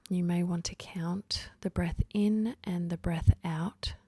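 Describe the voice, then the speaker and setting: A woman guides a sleep meditation in a lowish voice that is very breathy and close to a whisper. She speaks very slowly and gently, letting each phrase settle before the next. The sound is dry and intimate, with no room around it. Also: fairly even intonation, no echo. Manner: gentle, unhurried, with long pauses between phrases.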